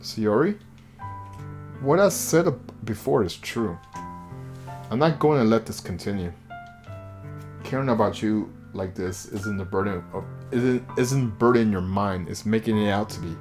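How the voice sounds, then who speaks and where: A man's voice: fairly low pitch, unhurried, long pauses, darkish tone, close to an everyday voice. A man voicing an earnest, protective teenage boy protagonist in a visual novel, speaking reassuringly over music. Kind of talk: visual novel character reading.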